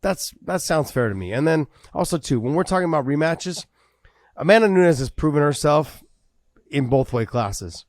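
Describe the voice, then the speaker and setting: Animated man talking fight news. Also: fairly high pitch, moderate pace, no room sound. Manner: animated, repeats words as he builds a point. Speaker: podcast co-host. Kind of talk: casual MMA podcast chat.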